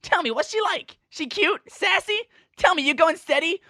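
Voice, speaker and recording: A woman's voice: extremely high pitch, animated, moderate pace, bright, forceful tone, dry recording, studio quality, exaggerated voice. A woman voicing a brash, teasing, cocky young male pegasus pony with boisterous slang in a fan-made audio story. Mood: excited, teasing. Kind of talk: fan fiction audio drama.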